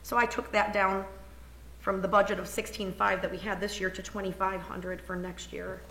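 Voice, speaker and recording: Hoarse woman walking through a budget. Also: mid-range voice, flat delivery, normal speed, fairly bright tone, roomy sound, rough recording. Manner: chatty and informal, thinking aloud with restarts. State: hoarse, scratchy voice. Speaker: municipal finance officer presenting the budget. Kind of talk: presenting a budget report at a council meeting. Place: council meeting room.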